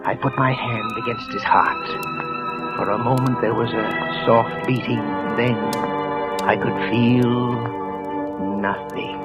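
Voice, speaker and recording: An actor playing a nervous, trembling office clerk who narrates in a hushed, tense voice, often sinking to a whisper, in a 1940s radio drama. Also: male voice, mid-range voice, huge pitch swings, slow, highs cut off. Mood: tense, brooding. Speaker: narrator and lead character. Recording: old radio broadcast with music.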